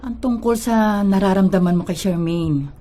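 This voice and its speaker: A woman's voice: mid-range voice, normal speed, warm tone, lightly acted. A woman playing a caring, protective middle-aged mother with a Filipino accent in a radio drama. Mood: firm, probing.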